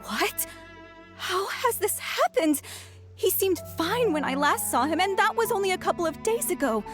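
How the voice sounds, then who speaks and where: Eine junge, verliebte Forscherin, die sich hoch und aufgeregt verteidigt. Ihre Stimme schwankt lebhaft, und leise Musik liegt darunter. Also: female voice, very high-pitched, big pitch swings, moderate pace, fairly bright tone, soft background music, full character voice. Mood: flustered. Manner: flustered, defensive. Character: young female researcher, lovesick and defensive. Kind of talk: video game voice acting.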